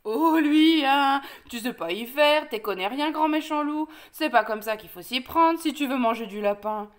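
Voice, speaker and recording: A woman puts on a little storybook rabbit with a high voice. He is cheeky and fearless, ordering others about in brisk, chatty, know-it-all speech. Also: animated. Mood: bossy, scornful. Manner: brisk, bossy, instructing, colloquial. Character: small cheeky bossy rabbit, fearless male trickster.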